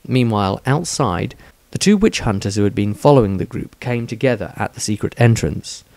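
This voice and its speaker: A man reading his own fantasy story as dramatic scripted narration, in a measured, suspenseful storytelling manner. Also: lowish voice, lively intonation, gravelly, a little mellow, no room sound.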